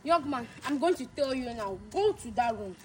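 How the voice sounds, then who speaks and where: A woman plays a commanding prophet, her high voice leaping up and down as she barks orders. She repeats her commands and prays loudly. The take is full of noise. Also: lively intonation, moderate pace.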